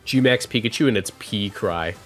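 A man in his twenties giving casual live game commentary, chatting and thinking out loud as he plays. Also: lowish voice, normal speed, faint music behind. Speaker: streamer.

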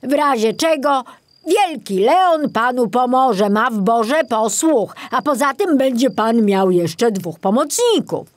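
A woman's voice: fairly high pitch, expressive, slow, dry recording, voice pushed up. A woman voicing a kindly, cheerful old male forester in a children's audiobook, instructive and reassuring.

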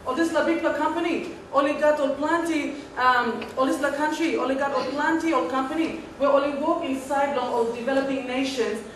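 A woman's voice: high voice, steady tone, brisk, hardly any pauses. A woman with a Papua New Guinean accent giving a partly improvised formal answer as a government speaker, heard from afar in an echoey hall. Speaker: government respondent in a practice parliament. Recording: very echoey hall, distant mic, faint noise.

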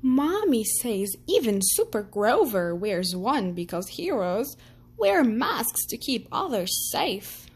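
A woman reading a children's picture book aloud in a gentle storytelling manner. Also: on the high side, sing-song, takes it slowly, slightly rough. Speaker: storytime reader.

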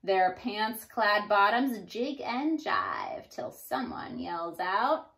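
Animated woman reading to young children. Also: medium pitch, slow, creaky. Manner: animated, addressing young children, sounding out rhymes. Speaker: teacher reading aloud to children. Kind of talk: expressive children's storybook read-aloud. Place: small indoor room.

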